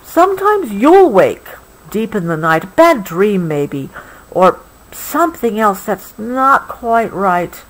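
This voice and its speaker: A woman reads a picture book aloud to a child, gently adding explanations as she goes. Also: mid-range voice, very animated, takes it slowly, low-quality recording. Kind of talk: read-aloud storybook with explanatory asides.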